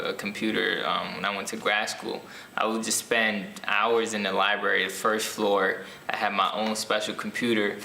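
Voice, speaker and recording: Bright-voiced young man with many ums. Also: on the low side, gravelly, echoey room, slight background noise. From Ethiopia. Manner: hesitant, many ums and restarts. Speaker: author being interviewed. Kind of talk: casual interview answers.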